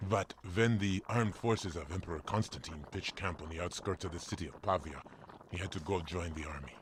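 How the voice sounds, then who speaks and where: A deep-voiced, kindly village priest from Germany, played by a male actor. He speaks in a gentle, measured, formal way, patiently explaining things as in a family audio drama. There is faint noise behind him. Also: lightly acted. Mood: warmly explaining.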